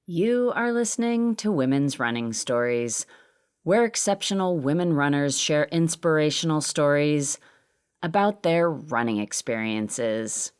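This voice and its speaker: A woman's voice: low-pitched, animated, unhurried, darkish tone, smooth. A woman podcast host and producer reading a scripted introduction in an earnest, emphatic way.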